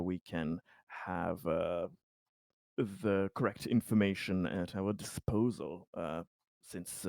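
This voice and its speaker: Man playing slow, hesitant, polite investigator. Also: sits low, gravelly, a little mellow, no echo. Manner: hesitant, halting, formal. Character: polite, deferential male investigator questioning an official. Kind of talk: tabletop roleplay in character.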